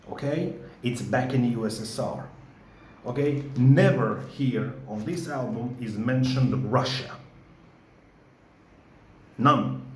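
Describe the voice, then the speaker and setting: A man's voice: medium pitch, darkish tone, echoey room, a little hiss or hum. A man with a Georgian accent, a record collector making an insistent vlog and often asking "okay?". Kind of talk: to-camera vlog.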